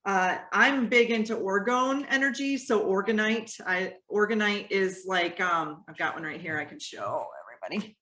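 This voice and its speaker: A woman hosts a relaxed spiritual chat, her voice loud and lively, often breaking into laughter. She sits at an ordinary pitch, and the recording carries a roomy echo. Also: moderate pace, few pauses. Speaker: podcast host.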